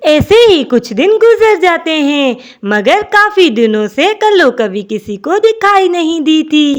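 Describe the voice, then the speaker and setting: A woman's voice: high-pitched, very animated, unhurried. A woman narrating a scripted children's story.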